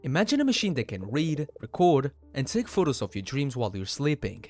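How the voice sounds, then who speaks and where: A man's voice: mid-range voice, sing-song, brisk, a little mellow, dry recording. A man hosting a video as narrator, reading a scripted countdown of horror stories over music. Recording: dry voice over background music.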